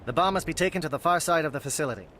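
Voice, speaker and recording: An actor playing a brisk, resolute alien captain in a video game, speaking in a clipped, formal, businesslike way. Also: male voice, a little high, a bit bright, slight background noise, natural voice.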